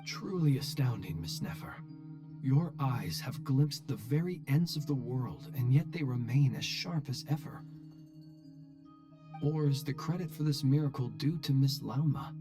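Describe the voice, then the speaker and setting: An actor voices a courtly, admiring gentleman in a fantasy game. He speaks formally, with flowery politeness, in a mid-pitched voice. Music plays clearly behind him. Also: unhurried, close to an everyday voice.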